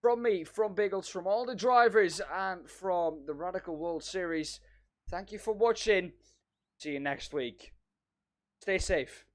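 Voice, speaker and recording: A male co-commentator with a fairly high voice. He sounds upbeat and laughs easily as he congratulates drivers and closes the broadcast, speaking into a headset over a call. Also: a little slow. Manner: upbeat, easy laughs, wraps up the show. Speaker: race co-commentator. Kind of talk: sim racing commentary.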